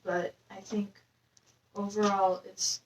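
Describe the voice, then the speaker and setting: A woman's voice: medium pitch, little pitch movement, slow. Young woman from nepal, lots of ums, sounds far off in a roomy echo, faint hiss. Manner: hesitant, many fillers. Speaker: interviewee. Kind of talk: casual interview answers. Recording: echoey room, distant mic, faint noise.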